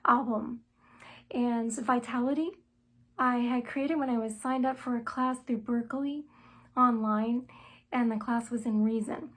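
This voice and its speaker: A middle-aged woman musician with a light voice talks about her music in a thoughtful, measured way, pausing often on "um" and "uh". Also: fairly high pitch. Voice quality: light, soprano-range voice. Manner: thoughtful and measured, with frequent "um" and "uh" pauses. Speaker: musician and songwriter interviewed about her album. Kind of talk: self-told interview answers, conversational.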